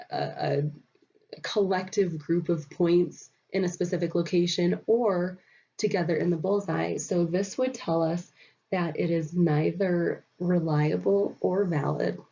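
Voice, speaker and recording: A woman talks through her slides in a nasal voice, her throat still rough from a recent illness. Her tone is low and mellow, with a husky, gravelly edge. The recording sounds dull and muffled. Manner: many fillers, explanatory. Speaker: student presenter.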